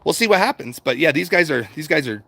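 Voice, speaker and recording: A middle-aged American man with a fairly high voice talks off the cuff as a livestream host. He rambles and circles back on his points in a conversational, unscripted way, as if answering a chat. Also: animated, fast talker, vocal fry, bright, forceful tone, no room sound. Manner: rambling, repetitive, conversational, swears casually. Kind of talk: casual unscripted chat, reading out and answering comments.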